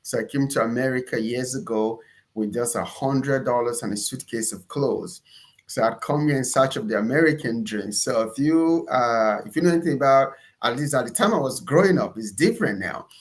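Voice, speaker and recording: A man with a Nigerian accent and a medium-pitched voice talks as a guest in an interview. He tells his personal story conversationally, leaning on key words and repeating them for emphasis. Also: moderate pace. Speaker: interview guest, entrepreneur and pastor.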